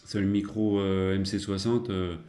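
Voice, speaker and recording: A man's voice: deep voice, flat delivery, normal speed, warm tone. A man chatting casually as a radio operator, in a rambling, hesitant way. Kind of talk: casual radio contact chat.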